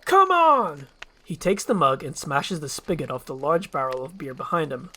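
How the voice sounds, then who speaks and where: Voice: medium pitch, brisk, fairly bright tone, slightly rough. A storyteller narrating a screenplay as a fairy-tale story, describing the scenes in the present tense.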